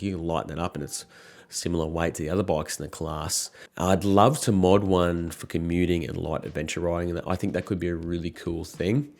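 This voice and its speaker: A man in his thirties, croaky from a cold, chatting casually and frankly to camera as a motorcycle vlogger. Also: sits low, darkish tone.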